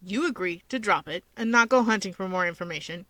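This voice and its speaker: A woman voicing a gruff, cocky young male wizard in a story reading, blunt and sardonic as he teases. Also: mid-range voice, expressive, a little fast, pushed and bright, no room sound, pitched below the performer's own voice. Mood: bargaining. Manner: blunt, sardonic, self-assured. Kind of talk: fan fiction character reading.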